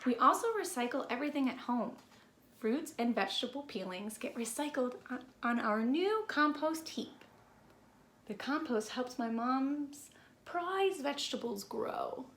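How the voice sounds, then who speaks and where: Woman, high voice, slow expressive children's read-aloud. Roomy sound. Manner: expressive, deliberate delivery for young listeners. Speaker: teacher reading a picture book aloud. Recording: roomy echo with background noise.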